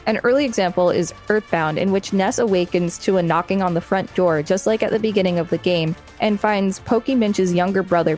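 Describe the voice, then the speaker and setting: A woman's voice: mid-range voice, a little mellow. A woman co-hosting a podcast, reading an encyclopedia article aloud and adding casual asides. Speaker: podcast co-host.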